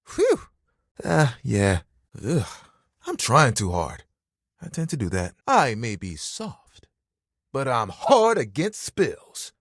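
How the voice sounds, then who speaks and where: Bashful young towel guy, dating game character, punning and rambling, husky edge, dry close recording. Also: mid-range voice, wildly swooping pitch, takes it slowly, pauses often, no room sound, exaggerated voice. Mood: awkward, flustered. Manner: rambling, self-conscious, casual, punning. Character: talking bath towel, bashful, eager, laid-back young guy. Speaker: video game voice actor.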